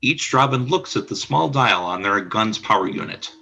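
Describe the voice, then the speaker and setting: Male narrator for radio drama, lowish and creaky, husky edge, scripted scene description. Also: animated, normal speed, sharp tone.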